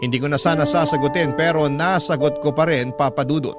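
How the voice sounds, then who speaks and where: A man's voice: on the high side, a little fast, lightly acted. A man playing a heartbroken young parent, narrating a painful breakup in an earnest, emotive storytelling way. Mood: reflective, dramatic. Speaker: radio drama narrator. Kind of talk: first-person dramatic story narration in letter form. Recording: music bed under the voice. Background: background music.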